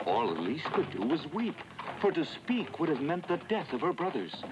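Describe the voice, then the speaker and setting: A man narrating a cartoon fairy tale in a dramatic, expressive storytelling style over loud music. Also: on the high side, normal speed, dull audio.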